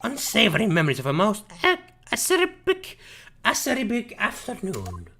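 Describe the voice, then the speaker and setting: A woman voicing a florid, pompous old male antique dealer from a video game, with ornate, drawn-out phrasing. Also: medium pitch, wildly swooping pitch, fairly bright tone, a little hiss or hum.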